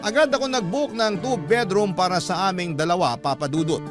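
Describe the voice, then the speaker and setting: A man's voice: high-pitched, a little fast. A man narrating a scripted first-person story as the narrator of a radio drama. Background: clear background music.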